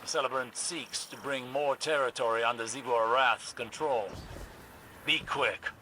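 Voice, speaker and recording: An actor playing a grave, authoritative warlock mentor in a video game, speaking sternly and formally. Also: male voice, medium pitch, normal speed, bright, forceful tone, slight background noise, close to an everyday voice, rough recording. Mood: stern warning.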